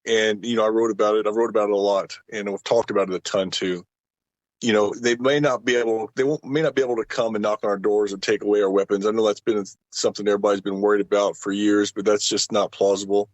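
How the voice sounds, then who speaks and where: A man's voice: on the low side, level tone, fast talker, no echo. A middle-aged man giving his opinions casually as a panel guest, with a folksy way of talking, on lo-fi audio.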